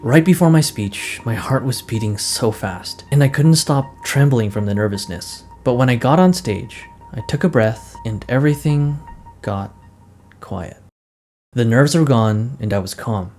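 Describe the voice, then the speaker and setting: A man's voice: mid-range voice, unhurried. Earnest young man narrating over music. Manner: calm, reflective, earnest. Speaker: vlogger. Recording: voice-over with loud background music.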